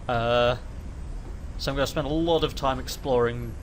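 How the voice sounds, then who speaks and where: A man's voice: medium pitch, fairly even intonation, normal speed, a little hiss or hum. A man with a cold hosting a casual let's play, talking his thoughts through in a hesitant, rambling way with many restarts. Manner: rambling, hesitant, self-correcting. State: has a cold. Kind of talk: casual gameplay commentary.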